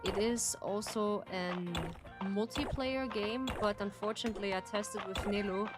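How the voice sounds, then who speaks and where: A young woman from Turkey streams a game and talks in a slow, unhurried way, often restarting her sentences as she thinks out loud. Her pitch sits in a middle range, and her English carries a first-language feel. Loud game music plays behind her the whole time. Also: fairly even intonation. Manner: chatty, halting, thinks aloud with many restarts. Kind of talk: casual gameplay commentary.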